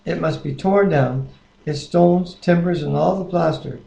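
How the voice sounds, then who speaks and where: Male amateur scripture reader, creaky and fairly high for a man, unpolished plain delivery, roomy webcam sound. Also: unhurried, dark tone. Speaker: Bible reader. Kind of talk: reading scripture aloud. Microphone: webcam microphone. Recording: echoey room webcam audio.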